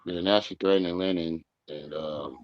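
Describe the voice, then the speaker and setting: A man's voice: low voice, fairly even intonation, moderate pace. Man rambling, muffled lo-fi audio. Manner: rambling, many restarts. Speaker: live stream co-host. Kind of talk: casual commentary chat.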